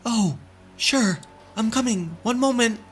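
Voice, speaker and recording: A man's voice: very high voice, takes it slowly, soft background music, voice pushed up. A man voicing a worried, polite shopkeeper mother in a horror story, hesitant and questioning. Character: worried, polite mother who keeps a shop.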